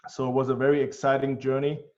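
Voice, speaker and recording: A man with a German accent, answering interview questions in an earnest, measured way with frequent ums. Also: medium pitch, level tone, darkish tone. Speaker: guest, healthcare tech executive. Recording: dull, lo-fi sound.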